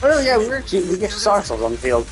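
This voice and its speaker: A man's voice: sits high, very animated, very fast. Man thinking aloud over game music. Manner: rambling, analytical, thinking aloud. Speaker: live game streamer. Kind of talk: casual gameplay commentary.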